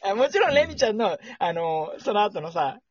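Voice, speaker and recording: A man's voice: high-pitched, sing-song, moderate pace, slightly rough, no room sound, lo-fi sound. A man co-hosting a podcast in casual chat, talking with the gushing enthusiasm of a fan. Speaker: podcast co-host.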